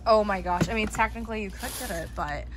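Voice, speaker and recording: A young woman chatting bubbly and fast to her handheld camera while shopping in a mall and candy store. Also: mid-range voice. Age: young adult. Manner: bubbly, chatty, quick gasps and laughs. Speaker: vlogger. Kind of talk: casual shopping vlog. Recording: noisy mall ambience.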